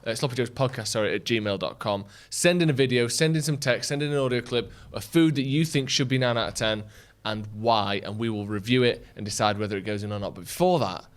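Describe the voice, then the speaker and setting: A man co-hosting a podcast, ribbing his friends with quick, rambling asides. His voice sits at an ordinary middle pitch. He talks in loose, casual banter. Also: smooth. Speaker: podcast co-host.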